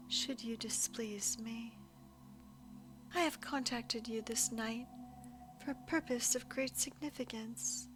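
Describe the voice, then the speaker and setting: A woman voicing an imperious, calm alien insect queen in an audio roleplay, speaking in a formal, measured, commanding and coolly menacing way. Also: fairly high pitch. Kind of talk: scripted audio roleplay monologue addressed to the listener.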